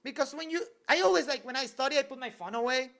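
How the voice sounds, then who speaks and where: A man's voice: very high voice, fast, a bit bright. A young man from Venezuela, casually answering live chat questions from his bedroom desk in a loose, joking way, full of "like". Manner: loose and joking, heavy use of "like". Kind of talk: casual livestream Q&A.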